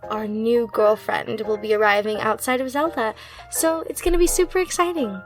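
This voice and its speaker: Woman, high voice, upbeat channel host, casual chatty address to listeners, music behind. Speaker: channel host, audio roleplay performer.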